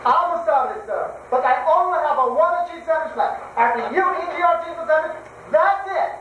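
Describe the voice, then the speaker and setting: Sly, apologetic man playing an Italian café owner, broken English, high voice, echoey dull room sound. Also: lively intonation, moderate pace, slight background noise. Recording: echoey, distant, dull amateur recording.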